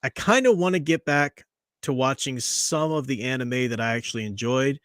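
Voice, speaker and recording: A man's voice: medium pitch, normal speed, fairly bright tone, dry recording, clean studio sound. A man hosting a casual live stream chat, rambling and joking, often breaking off mid-sentence. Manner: rambling, self-interrupting, joking. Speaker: podcast host.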